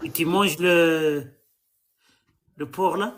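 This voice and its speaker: A man's voice: a little high, steady tone, slow, a bit bright. A man from Algeria hosting a live stream, quizzing callers with pointed, mocking questions.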